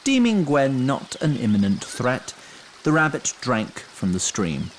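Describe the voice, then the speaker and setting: Animated male fantasy narrator, medium pitch. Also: unhurried, slight background noise. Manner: dry, literary storyteller.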